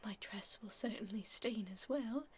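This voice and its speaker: A woman voicing a haughty but tender vampire duchess in a soft, whispered ASMR roleplay, with formal, aristocratic phrasing. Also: a little high, moderate pace, slight background noise, lightly acted. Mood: haughty, wary.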